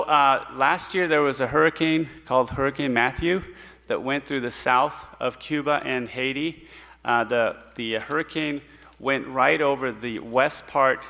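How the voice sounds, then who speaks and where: A middle-aged man from Puerto Rico giving an earnest missionary report to a church congregation, pausing often with fillers. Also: mid-range voice, bright, forceful tone. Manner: earnest, storytelling, pauses with fillers. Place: church sanctuary stage. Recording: hall reverb in a church.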